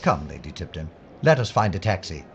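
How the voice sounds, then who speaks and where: A man's voice: low-pitched, big pitch swings, vocal fry, full character voice. A man playing a polite but dogged Scotland Yard inspector in an audio drama, speaking formally. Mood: brooding, resolute. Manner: formal, polite, deliberate wording. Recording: dull sound with faint background noise.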